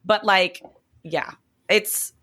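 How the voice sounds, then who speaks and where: Slightly hoarse woman, bright chatty voice. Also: medium pitch, extremely slow. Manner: chatty, animated, rambling with fillers. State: slightly hoarse, losing her voice. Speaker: podcast co-host; teacher. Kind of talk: casual chat.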